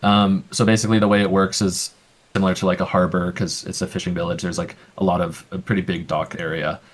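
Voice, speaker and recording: Low voiced guy running a tabletop game over voice chat, lots of ums. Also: little pitch movement, fast. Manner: casual, explains rules with many fillers. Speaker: game master.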